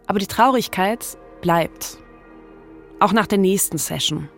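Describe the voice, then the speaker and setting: A woman's voice: mid-range voice, a little slow, soft background music. A woman hosting a narrative podcast, reading scripted storytelling in a conversational way with short dramatic sentences. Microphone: close studio microphone.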